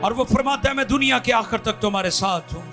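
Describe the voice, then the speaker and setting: An impassioned pastor in his forties preaches into a handheld mic on a church stage. His voice is high and bright, a gravelly edge, and he swells into loud, fervent peaks. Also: fast talker, soft background music. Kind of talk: sermon. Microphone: handheld microphone.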